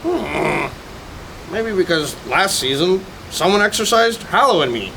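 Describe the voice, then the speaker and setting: Man acting a hammy, animated surf instructor, bright-voiced. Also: sits high, slow pace, gravelly, slight background noise, heavily acted, low-quality recording. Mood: grudging. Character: disbanded monster gang member turned laid-back surf instructor. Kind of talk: campy comedy sketch acting.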